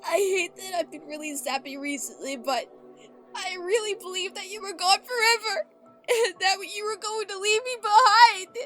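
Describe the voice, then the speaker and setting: A woman voicing an emotional, brave young female pony hero in a fan audio drama. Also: moderate pace. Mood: tearful. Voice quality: high-pitched, youthful. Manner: excitable, earnest, openly emotional. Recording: roomy reverb under loud music.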